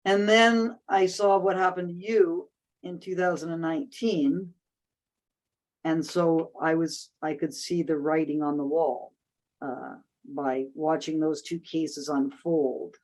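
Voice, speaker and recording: Woman host chatting, lots of restarts and ums, working her thoughts out loud. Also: sits low, fairly even intonation, slow pace, poor audio. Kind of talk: casual discussion.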